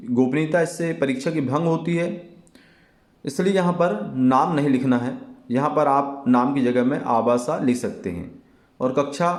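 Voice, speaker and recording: A man with a somewhat high-pitched voice gives an instructional lesson to students. He explains deliberately and repeats key phrases. The recording has a strong room echo around his voice. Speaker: Hindi teacher. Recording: echoey, reverberant room.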